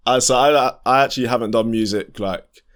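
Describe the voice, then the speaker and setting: A man in his twenties with a London accent and a deep voice, talking in a laid-back, modest and rambling way as a guest musician on a chat show. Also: brisk, clear, resonant tone. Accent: London English. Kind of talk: casual chat.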